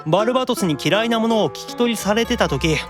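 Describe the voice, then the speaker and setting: Man playing a sulky, animated young otaku demon. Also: high-pitched, quick pace, over music. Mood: sulky, accusing. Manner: petulant, accusing, teasing. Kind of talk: improvised audio drama acting.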